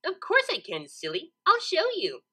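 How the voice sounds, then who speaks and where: A woman's voice: extremely high pitch, wildly swooping pitch, moderate pace, sharp tone, voice pushed up, heavily acted. Woman putting on a bubbly, swooping cartoon pony. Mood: cheerful confidence. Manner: chirpy, gushing, childlike, exclaiming. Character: hyperactive, bubbly young female cartoon pony baker. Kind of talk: cartoon fan fiction character reading.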